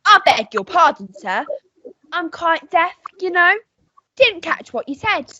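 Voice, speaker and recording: A woman acts a deaf, courteous housemaid in a melodrama. The voice is high and dry, on a slightly dull recording. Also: very animated, measured pace, bright, forceful tone, no room sound, full character voice. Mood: polite, oblivious. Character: polite, hard-of-hearing English housemaid woman. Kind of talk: amateur melodrama radio play acting.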